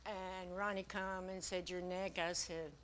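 A woman's voice: on the low side, level tone, measured pace, a bit bright, slight background noise. A middle-aged woman giving a simple, joyful testimony in a small church.

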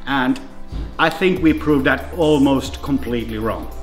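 A man's voice: medium pitch, unhurried, reverberant, over loud music. A man from Finland with a Finnish accent, speaking English as a second language, presenting a racing team as its engineer in a garage. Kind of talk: scripted team introduction to camera.

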